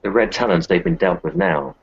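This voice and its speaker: Man as blunt werewolf-world insider, very deep, husky and creaky, tabletop roleplay, muffled recording. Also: steady tone, fairly quick. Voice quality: gravelly, husky. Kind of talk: improvised in-character tabletop roleplay.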